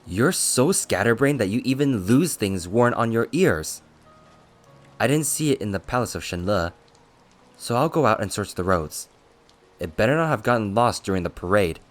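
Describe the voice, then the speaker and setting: A man's voice: fairly low pitch, animated, normal speed, voice pushed up, natural voice. A man voicing a blunt, hot-tempered male attendant who scolds and complains brashly in a storybook reading. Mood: scolding, annoyed.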